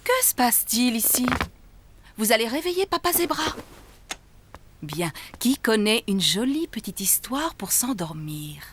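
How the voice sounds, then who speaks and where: Lively, higher-pitched cartoon zebra mum. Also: female voice, measured pace, a little hiss or hum. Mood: mild scolding. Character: gentle but firm zebra mum.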